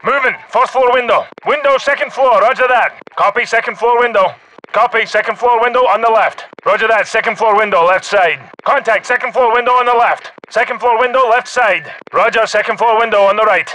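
A man voicing a gruff, urgent special forces soldier in a military shooter game, giving clipped, terse combat callouts. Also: high-pitched, brisk, sharp tone, poor audio. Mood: urgent, alert. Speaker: video game voice actor.

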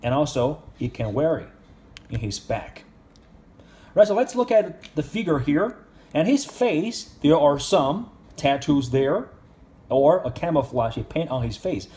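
A man's voice: fairly high pitch, big pitch swings, measured pace, warm tone. A man reviewing an action figure in casual speech, with simple, non-native English phrasing. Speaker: toy reviewer.

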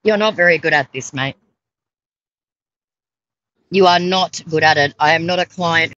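Middle-aged woman, mocking and scolding, lowish voice, lo-fi call audio. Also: a little slow, a bit bright. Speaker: scambaiter. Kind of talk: confrontational phone call. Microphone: phone on a video call.

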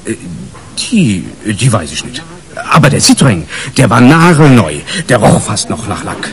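An actor playing a flustered postal worker giving a witness statement, halting and stammering, in a 1980s German radio crime drama. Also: male voice, medium pitch, very animated, creaky. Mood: flustered, hesitant.

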